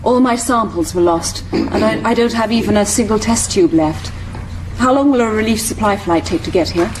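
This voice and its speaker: A woman's voice: mid-range voice, moderate pace, noisy background, lightly acted. A woman acting an earnest researcher in an audio drama, pleading and persistent. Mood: dismayed pressing.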